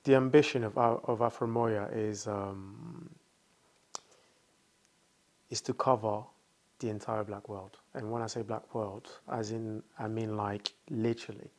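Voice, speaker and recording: A man's voice: fairly low pitch, fairly even intonation, measured pace. Thoughtful man talking with many fillers. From France. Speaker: founder, guest. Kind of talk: casual interview talk.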